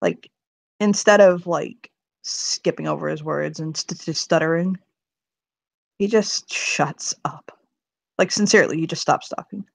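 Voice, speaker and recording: A woman's voice: sits low, unhurried, creaky, no room sound. A woman narrating her character in casual tabletop roleplay, rambling, swearing freely and laughing often. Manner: profane, giggly, rambling.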